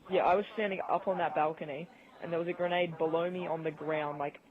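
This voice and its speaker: A man's voice: a little high, steady tone, fast, phone-quality sound, crowd noise. A man in a casual gaming chat over an online voice call, with game audio behind him. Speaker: podcast guest. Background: video game audio.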